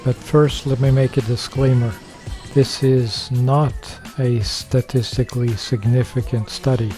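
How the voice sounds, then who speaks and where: A man's voice: mid-range voice, fairly even intonation, a little slow, over music. An older man from Norway talking casually to camera, rambling with many fillers and sharing opinions. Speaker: vlogger.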